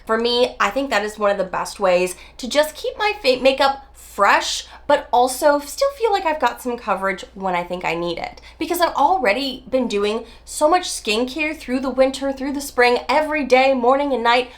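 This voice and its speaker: A woman's voice: a little high, a bit bright. A woman in her forties, nasal from a summer cold, chatting to camera as a beauty vlogger in an emphatic, chatty way. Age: 40s. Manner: chatty, emphatic, drawn-out stresses. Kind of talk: casual talk to camera.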